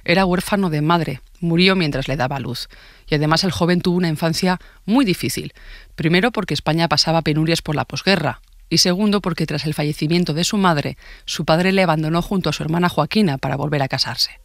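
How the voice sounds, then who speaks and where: A man from the higher side of the male range narrates scripted true crime in a Spain accent. He sounds like a podcast host telling a case step by step, recorded dry and close with no room around him. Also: a little fast, fairly bright tone, no echo.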